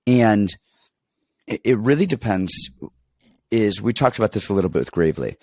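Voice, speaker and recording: A deep-voiced man, stuffed up and congested, rambles through a casual fight breakdown. He tells animated stories full of restarts and asides. The sound is close and dry, with no room around it. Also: moderate pace, no room sound. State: congested, getting over an illness. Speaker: podcast co-host and fight coach. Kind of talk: casual sports betting chat.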